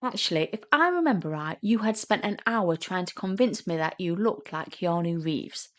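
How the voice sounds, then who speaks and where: A teasing younger sister, played by a woman narrator in a romantic comedy audio story. She is sarcastic and immature. She bickers in an excitable, mocking way. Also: on the low side, normal speed, lightly acted.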